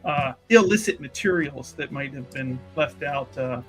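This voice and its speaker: A man's voice: medium pitch, unhurried, soft background music. A man improvising narration of his character's actions in a tabletop roleplaying game, hesitant and wordy with flowery phrasing.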